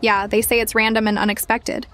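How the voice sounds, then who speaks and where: A woman's voice: a little high, little pitch movement, fairly quick, a bit bright, slight background noise, natural voice. A woman playing a caring, concerned young woman at home in earnest, conversational audio drama dialogue. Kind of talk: casual domestic drama dialogue.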